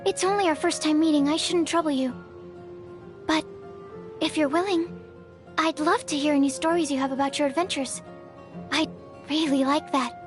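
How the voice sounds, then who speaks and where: A woman's voice: high voice, steady tone, normal speed, pauses often, faint music behind, lightly acted. A woman voicing a shy, curious young alchemy researcher in a video game, hesitant and bookish. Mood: timid. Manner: timid, hesitant, softly earnest, bookish. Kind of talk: video game character voice lines.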